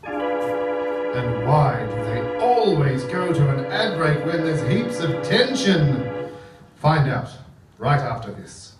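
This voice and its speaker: A man's voice: on the high side, very animated, slow pace, far from the mic. A man acting a melodramatic narrator of a comic radio serial, announcing with dramatic emphasis. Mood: suspenseful. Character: melodramatic male serial narrator and excitable fight commentator. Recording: echoey theatre from afar, loud backing music. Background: loud backing music.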